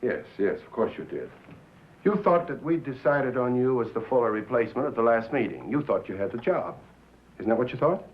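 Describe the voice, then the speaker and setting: An actor playing a scheming, paternal network president in a 1950s film drama, condescending, with deliberate, hesitant pauses. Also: male voice, medium pitch, big pitch swings, highs cut off, close to an everyday voice. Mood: calculating. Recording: thin, boxy old film soundtrack.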